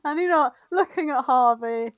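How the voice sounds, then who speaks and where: A woman's voice: sits high, normal speed, no room sound, phone-quality sound. A woman co-hosting a podcast, retelling fan fiction in a giggly, sweary, informal comedic chat. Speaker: podcast co-host.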